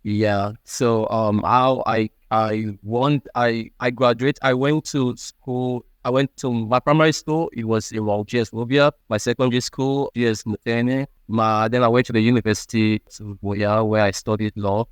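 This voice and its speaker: A man in his thirties from Cameroon, speaking with a Cameroonian accent. He answers questions in a halting, earnest way and often restarts his sentences. His voice sits at an ordinary middle pitch, with dry, close sound. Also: fairly even intonation, clear, resonant tone, no room sound. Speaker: guest. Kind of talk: interview answers.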